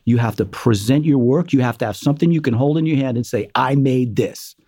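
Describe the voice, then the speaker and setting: A man, a musician being interviewed, telling animated stories and acting out what others said, with many fillers. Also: mid-range voice, a little fast, no echo, studio quality. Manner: animated storyteller, acts out quotes, many fillers. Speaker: guest, musician. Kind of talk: conversational interview storytelling.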